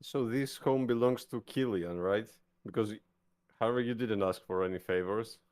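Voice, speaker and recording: A man's voice: fairly low pitch, moderate pace, a little mellow. A man chatting casually as a player in a tabletop roleplaying game, hesitant and searching for his words.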